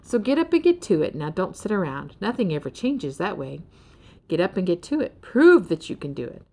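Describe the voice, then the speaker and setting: A kindly female storybook creature, part giraffe and part mouse, speaks with folksy graciousness, put on by a woman reader. The delivery is very animated, cheerful and full of encouragement. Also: fairly low pitch, a little fast, vocal fry, heavily acted. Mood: encouraging. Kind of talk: children's storybook character voice.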